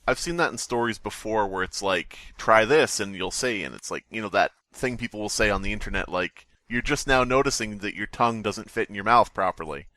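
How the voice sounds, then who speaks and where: Low-voiced man bantering on a podcast, dry sound. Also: animated, fairly quick, hardly any pauses, sharp tone, no echo. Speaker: podcast co-host. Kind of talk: casual joking commentary on online scary stories.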